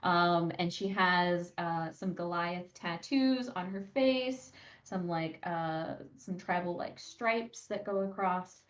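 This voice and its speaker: A woman unhurriedly describes her game character, pausing to find words. Her voice is on the low side, and the recording is roomy and a bit muffled. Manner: hesitant, searching for words. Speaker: tabletop RPG player. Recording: muffled, roomy microphone sound.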